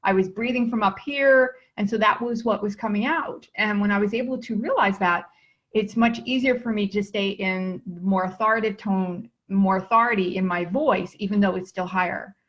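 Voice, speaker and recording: A woman's voice: medium pitch, moderate pace. A woman hosting an interview, enthusiastic and affirming. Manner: enthusiastic, affirming, many "mm-hmm"s. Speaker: speaking coach, host. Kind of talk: interview host chat. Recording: dull lo-fi sound.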